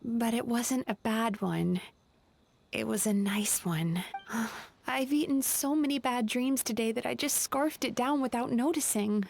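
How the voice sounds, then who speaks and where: A woman voicing a gentle young female dream-eating spirit in a video game, soft-spoken, polite and apologetic. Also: fairly high pitch, a little slow, gravelly.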